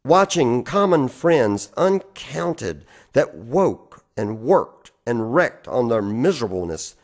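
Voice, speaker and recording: Male poetry reader. Unhurried, deliberate delivery with animated intonation. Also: medium pitch. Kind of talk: dramatic poetry recitation.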